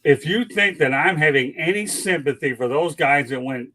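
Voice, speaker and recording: A man's voice: on the high side, moderate pace, pushed and bright. A man hosting a casual sports panel show, teasing and bossing his co-hosts in a jokey way. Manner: teasing, mock-commanding, jokey. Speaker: show host.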